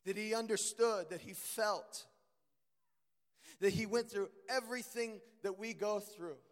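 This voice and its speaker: Hoarse high-voiced preacher in a reverberant hall. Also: male voice, measured pace. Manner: earnest, builds to emphatic peaks. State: strained voice, deeper than usual. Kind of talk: sermon reading and expounding scripture.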